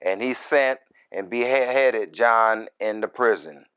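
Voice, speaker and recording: A man who is a minister reads scripture verse by verse and explains it in deliberate, broken short phrases with folksy, informal asides. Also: medium pitch, fairly bright tone, clean tone, low-quality recording. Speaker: minister, Bible teacher.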